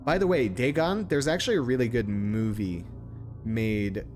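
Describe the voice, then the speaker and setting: A man's voice: medium pitch, moderate pace, darkish tone, faint music behind. A man streaming a game, commenting casually and reading text aloud in an informal, sometimes stumbling way.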